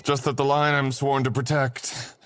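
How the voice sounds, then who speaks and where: A man playing a dangerous, vengeful ancient sorcerer in an audio roleplay, speaking in a husky, breathy voice with dramatic, threatening pauses. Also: mid-range voice, flat delivery, fairly bright tone, natural voice. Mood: bitter, agitated. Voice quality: husky, breathy, often dropping to a whisper. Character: dangerous ancient male sorcerer, menacing, vengeful, freed from long imprisonment. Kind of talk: boyfriend ASMR audio roleplay.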